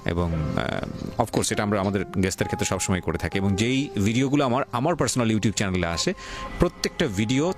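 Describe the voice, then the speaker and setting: A man with a Bangladeshi accent hosting a radio talk show, questioning deliberately and mixing in English words. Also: fairly low pitch, lively intonation. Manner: deliberate, mixes English words into his speech. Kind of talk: probing interview, addressing guest and listeners. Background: music.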